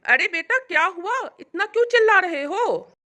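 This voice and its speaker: Casting: affectionate older mother-in-law, played by a woman, high lively voice, patient, reassuring explainer for family storytelling. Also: normal speed, heavily acted. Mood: concerned.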